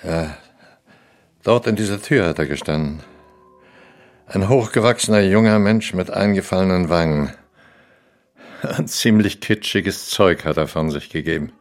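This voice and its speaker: An actor in a radio drama playing an ageing, bitter former opera star in his eighties, gravelly and breathy, theatrical and declamatory, often muttering to himself. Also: deep voice, unhurried, pauses often. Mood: brooding. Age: 80s. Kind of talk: radio play acting.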